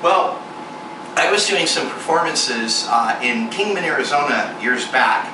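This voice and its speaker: A man in his forties giving a candid, self-mocking personal testimony sermon, with sudden jumps in pitch for emphasis. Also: animated, unhurried, distant mic. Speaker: ministry performer speaking to a congregation. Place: large echoey venue room with air conditioning hum. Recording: echoey room recorded from afar with loud air-conditioner hiss.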